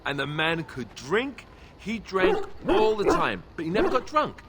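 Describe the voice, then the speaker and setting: An actor playing an affable, boyish young warrior who talks in a conversational, sentimental way in a video game. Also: male voice, high voice, wildly swooping pitch, a little slow, creaky, very noisy, exaggerated voice. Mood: amused, nostalgic. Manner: conversational, self-interrupting storyteller. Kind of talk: video game dialogue acting.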